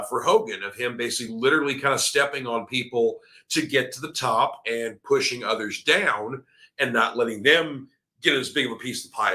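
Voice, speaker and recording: A man's voice: medium pitch, sharp tone. A middle-aged man hosting a live-streamed wrestling talk show, rambling and opinionated with lots of fillers, his voice slightly scratchy from a cold. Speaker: wrestling podcast host.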